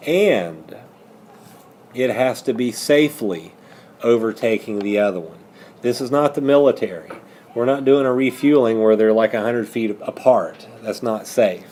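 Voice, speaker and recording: A man's voice: fairly low pitch, darkish tone, slight background noise. A man teaching a math lesson step by step, posing questions and then answering them himself. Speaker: high school math teacher.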